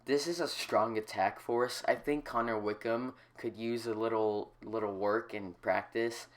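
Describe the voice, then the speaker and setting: A congested man hosting a casual soccer talk show, explaining tactics and giving opinions at length. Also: fairly low pitch. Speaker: host.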